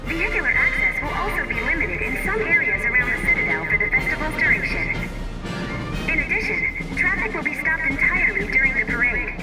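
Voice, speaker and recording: Very high-pitched festival announcer. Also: male voice, very animated, a little fast, rough recording. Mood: polite, formal. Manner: polite, formal, projected. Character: formal festival public-address announcer. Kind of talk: scripted public announcement. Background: loud music.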